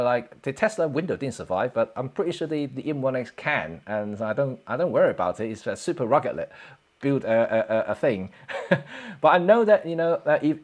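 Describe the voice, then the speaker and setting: A middle-aged man chats loosely to his livestream viewers. His voice sits at an ordinary mid pitch, and he talks in a halting, filler-heavy way with restarts and easy chuckles. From Hong Kong. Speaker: photography livestream host. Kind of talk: casual livestream chat reading viewer comments.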